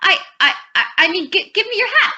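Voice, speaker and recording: An overeager housemaid played by a woman, gushing in a very high, bright voice. It is doting radio comedy acting on a slightly muffled recording. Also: animated, fairly quick, heavily acted. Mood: gushing, eager. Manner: gushing, eager. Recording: dull, lo-fi audio drama recording.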